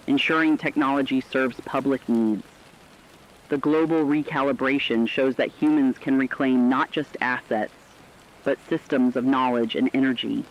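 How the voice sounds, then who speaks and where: A man acts as an earnest, hopeful co-anchor in a radio drama about a rebuilding world. His medium-pitched voice reads scripted news formally. The sound is dull and lo-fi, like an old radio. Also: close to an everyday voice. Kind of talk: scripted news broadcast narration.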